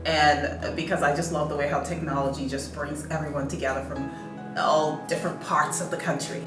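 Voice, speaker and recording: A woman's voice: sits low, flat delivery, a little fast. A woman who is a theatre director, speaking reflectively in an interview with frequent fillers. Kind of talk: behind-the-scenes interview. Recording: echoey room, distant mic, music behind.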